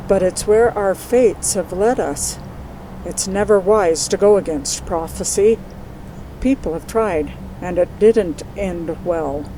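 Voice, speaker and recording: Older mage mother, played by a woman: dark soft tone, compassionate, resolute, fatalistic, measured audio drama delivery, slight background noise. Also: medium pitch, close to an everyday voice, poor audio. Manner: measured, earnest, solemn.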